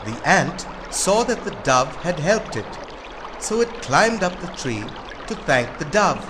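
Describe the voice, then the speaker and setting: A man's voice: on the high side, big pitch swings, measured pace, noisy background. A man narrating a scripted fable for children.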